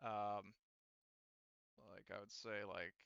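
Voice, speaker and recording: A man's voice: fairly low pitch, flat delivery, slow, gravelly, a bit bright, smooth, dry recording, dull audio. A man casually refereeing a tabletop roleplaying game as game master, with informal, sweary talk.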